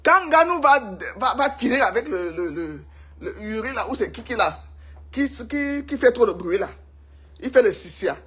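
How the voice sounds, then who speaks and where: Cameroonian woman vlogger, husky breathy voice, excitable, chatty gossip to camera. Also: a little high, normal speed. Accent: Cameroonian French. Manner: emphatic, excitable, repeats herself for effect. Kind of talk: casual gossip monologue to viewers.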